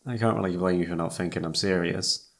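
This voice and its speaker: A young man playing a lovesick, self-blaming best friend in a halting, emotional, intimate romantic audio roleplay, with a husky voice. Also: low-pitched, steady tone, a bit bright. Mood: flustered.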